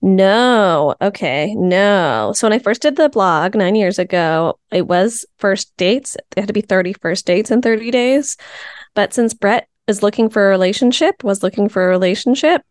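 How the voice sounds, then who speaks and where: A woman's voice: mid-range voice, expressive, moderate pace, no room sound, studio quality. A woman in her thirties, a comedian chatting casually as a podcast guest, laughing easily and using many filler words. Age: 30s.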